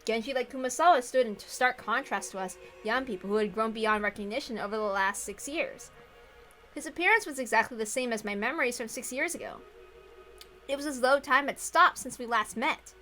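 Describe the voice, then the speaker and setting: Bright, high-voiced woman narrating. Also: brisk. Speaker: narrator and reader of a visual novel. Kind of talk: scripted narration with casual asides. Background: faint music.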